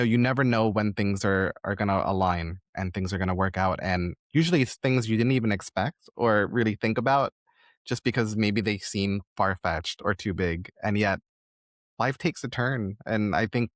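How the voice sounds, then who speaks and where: A man's voice: lowish voice, normal speed, no echo, clean studio sound. A man hosting a conversational podcast interview, speaking in an earnest, effusive and reflective way. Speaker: podcast host.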